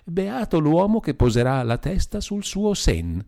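A mystical fortune-teller woman with a Veronese lilt, as a male audiobook reader puts her on, coaxing and singsong. The voice rides higher than the reader's own and swoops up and down as she spins her prophecies. She sounds theatrical, warm-toned and darkish. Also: big pitch swings, a little slow, creaky, full character voice. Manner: sing-song, mystical, coaxing. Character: female medium, flirtatious fortune-teller. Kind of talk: audiobook character voice.

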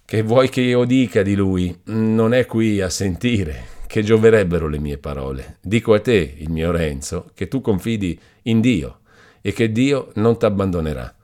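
Brief: solemn older friar, grave and firm, raised deep voice, as a male narrator voices him. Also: lively intonation, unhurried, a little mellow. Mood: consoling. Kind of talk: audiobook character voice.

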